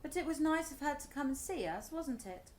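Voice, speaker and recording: A woman with a British accent playing a resentful, highly strung middle-aged wife in a radio play, accusing and sarcastic. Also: lively intonation, a little fast, a little hiss or hum. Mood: strained.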